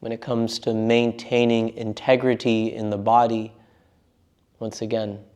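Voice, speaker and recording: A man guiding a meditation as a teacher, speaking in a gentle, unforced and reassuring manner. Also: lowish voice, little pitch movement, a little slow, smooth. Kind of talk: guided meditation instruction.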